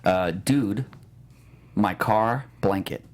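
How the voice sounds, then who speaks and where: A man hosting a relaxed quiz on a podcast, joking and rambling with lots of filler words. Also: lowish voice, drawn-out words. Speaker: host running a movie title quiz. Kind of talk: casual podcast banter.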